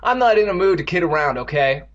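A man's voice: sits high, sing-song, fast, fairly bright tone, breathy edge, muffled recording, voice pushed up, full character voice. A man voicing a panicky, impatient preteen boy from a storybook, exclaiming and wailing sarcastically. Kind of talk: storybook character reading.

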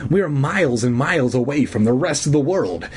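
A man's voice: medium pitch, expressive, fairly quick, slight background noise, close to an everyday voice. A man voicing a frightened, insistent schoolkid in a scary storybook, with breathless, exclaiming delivery.

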